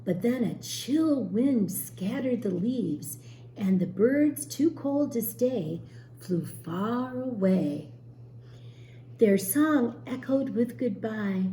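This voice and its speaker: A woman teacher reading a storybook aloud expressively to young children, pausing to explain and ask questions. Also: fairly high pitch, animated, dark tone. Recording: roomy echo, faint background noise.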